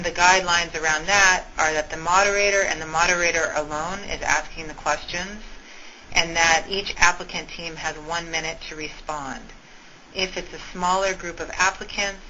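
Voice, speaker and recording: A woman explains procedures step by step in an informal briefing. Her voice is fairly low and clearly bright, coming through a dull phone conference line with faint noise. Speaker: school meetings organizer briefing a conference call. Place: conference call over telephone. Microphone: telephone.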